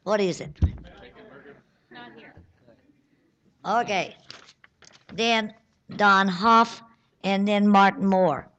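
A woman chairing a public hearing, calling speakers in a brisk, firm, procedural way. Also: mid-range voice, a bit bright. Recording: dull lo-fi hearing recording.

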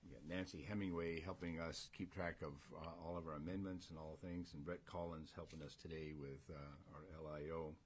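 An American man chairing a government committee meeting, speaking informally in a halting, folksy and courteous way. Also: extremely low pitch, fairly even intonation. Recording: noticeable background room noise.

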